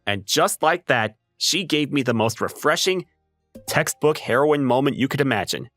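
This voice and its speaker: A man playing an ordinary, level-headed high school boy who narrates a manga dub story in a wry, casual way. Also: lively intonation, moderate pace, fairly bright tone, no room sound, faint music behind, lightly acted. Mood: wry, explanatory. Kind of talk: scripted first-person story narration and dub acting.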